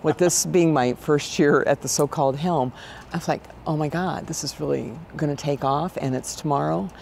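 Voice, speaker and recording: A woman in her sixties with an American accent and a low, gravelly voice, answering conversationally as an interview guest. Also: moderate pace, darkish tone, a little hiss or hum. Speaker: event director, interview guest. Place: large exhibition hall, indoors. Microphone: lapel mic.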